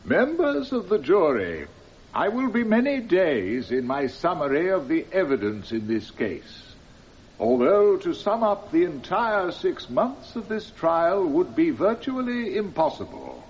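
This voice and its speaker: An actor playing a solemn, formal judge addressing a jury with measured authority in a radio drama. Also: male voice, a little high, wildly swooping pitch. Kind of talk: radio drama courtroom speech. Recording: muffled, hissy radio drama recording.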